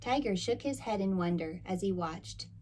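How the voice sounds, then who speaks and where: Animated woman reading a children's story. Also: mid-range voice, normal speed. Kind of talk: expressive picture-book read-aloud.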